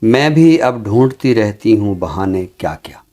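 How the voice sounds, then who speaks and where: A man speaking as a commentator on a literary show, in a deliberate, reflective way with emphatic phrasing. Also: fairly low pitch, animated, mellow, faint music behind. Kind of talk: reflective commentary and Urdu poetry recitation.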